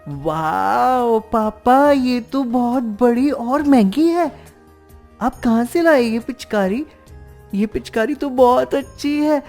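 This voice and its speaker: A woman's voice: fairly high pitch, a little mellow, full character voice. A woman voicing an eager, demanding little boy from a poor family in a cartoon story. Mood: delighted.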